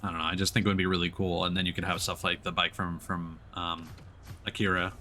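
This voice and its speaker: A man's voice: deep voice, little pitch movement, quick pace, sharp tone. A man giving casual livestream gaming commentary, chatting as a streamer and swearing casually. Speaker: game streamer. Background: game music and racing car sounds.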